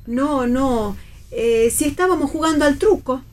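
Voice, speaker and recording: Fretful argentine mom in a radio play, highish voice, guilt trips and superstitions. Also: female voice, a little slow, a little mellow. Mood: defensive. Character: fretful, guilt-tripping, superstitious mother and wife. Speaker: actress in a read-theatre radio play. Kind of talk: dramatic play reading.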